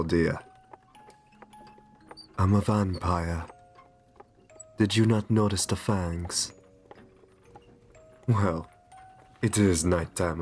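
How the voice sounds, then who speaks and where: A man playing a courtly, soothing vampire in an ASMR roleplay, speaking softly and genteelly with a possessive kindness. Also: low voice, a little hiss or hum. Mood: earnest, disapproving. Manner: soft, soothing, genteel, unhurried.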